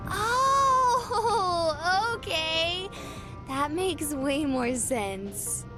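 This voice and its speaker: A woman's voice: extremely high pitch, expressive, extremely slow, over loud music, full character voice. A woman voicing a cheerful, trusting, ditzy young woman in a visual novel, with a bubbly, wide-eyed manner. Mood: bubbly, amazed.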